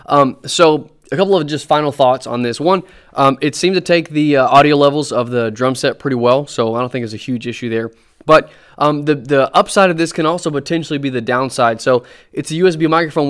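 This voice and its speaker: A man's voice: fairly high pitch, brisk, a bit bright, dry recording, studio quality. Chatty young man reviewing a microphone. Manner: chatty, many ums and restarts. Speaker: drummer and gear reviewer. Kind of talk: casual product review to camera. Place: dark studio room, seated at a desk. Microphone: USB condenser on a boom arm, speaking off-axis.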